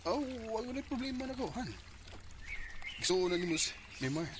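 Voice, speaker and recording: Through a noisy track comes a frustrated Cebuano husband in a radio play. His voice sits a little high and moves animatedly, with halting, hesitant phrasing. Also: animated. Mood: casual. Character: frustrated, hesitant husband tempted by another woman. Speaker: actor in a radio drama. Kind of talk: Bisaya radio drama acting.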